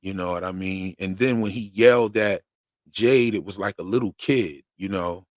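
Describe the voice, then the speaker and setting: Hoarse man hosting a casual stream. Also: low-pitched, moderate pace, no room sound, studio quality. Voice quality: slangy, rambling, conversational. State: losing his voice. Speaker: live stream host. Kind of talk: casual fan commentary.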